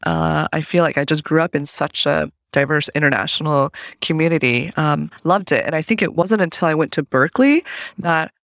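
A woman's voice: low-pitched, normal speed, creaky, dry recording, studio quality. A woman in her early thirties from Colorado, chatting as a guest on a podcast and laughing lightly between thoughts. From United States (Colorado). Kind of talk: casual interview chat.

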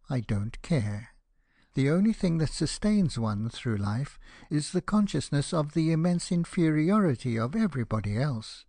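A man's voice: a little high, dark tone, no echo, pitched above the performer's own voice. A man voicing a pompous, self-obsessed firework rocket in a storybook, declaiming with indignation and self-pity. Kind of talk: dramatized storybook reading.

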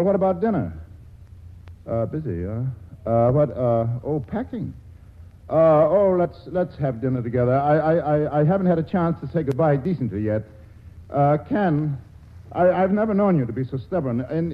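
Male actor for a cynical, witty writer; 1940s radio acting, lively pitch, tinny broadcast sound. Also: moderate pace, lightly acted. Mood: rueful. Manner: wry, sardonic banter.

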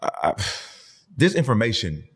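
A man's voice: mid-range voice, very animated, moderate pace, slightly rough. A man in his thirties interviewing in a casual, laid-back, slangy way, readily affirming what he hears. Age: 30s. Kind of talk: casual chat. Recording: long hall-like reverb.

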